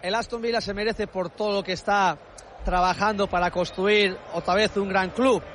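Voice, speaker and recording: Coach, man, high-pitched and measured, outdoor clip with faint noise. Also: steady tone, a bit bright. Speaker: football coach. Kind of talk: post-match interview.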